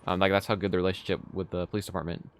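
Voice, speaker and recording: A man's voice: sits low, little pitch movement, very fast. A young man from Texas with a light Texan accent, chatting casually with viewers while streaming a game, rambling with lots of fillers. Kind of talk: casual live-stream chat while playing.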